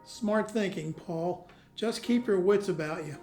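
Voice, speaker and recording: Woman acting as steady, supportive friend, encouraging, roomy echo, faint music, audio drama. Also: lowish voice, a little slow. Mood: thoughtful, supportive. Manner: calm, encouraging. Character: supportive, steady confidant of a vigilante hero.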